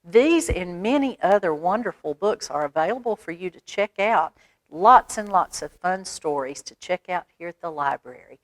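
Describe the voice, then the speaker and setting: A woman's voice: on the low side, lively intonation, normal speed, no room sound. An American woman reading a children's picture book aloud, drawing words out expressively and adding little explanatory asides for young listeners. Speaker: library storytime reader.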